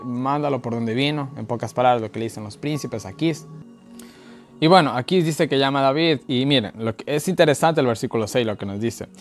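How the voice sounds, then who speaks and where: A man with an Ecuadorian Spanish accent leading an informal online Bible study in a colloquial, halting way full of filler words. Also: mid-range voice. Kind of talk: informal Bible study talk, verse-by-verse commentary. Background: background music.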